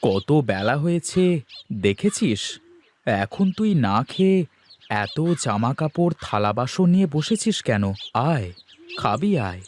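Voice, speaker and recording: A man voicing a gentle caring father. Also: mid-range voice, measured pace, pauses often, slight background noise. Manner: gentle, concerned, lively. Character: kind caring father, middle-aged man. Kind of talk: cartoon voice acting.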